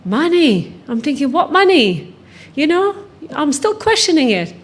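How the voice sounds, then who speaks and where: Higher-voiced woman giving personal testimony. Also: big pitch swings, measured pace. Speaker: guest speaker, trafficking survivor and author. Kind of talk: personal testimony told to a conference audience.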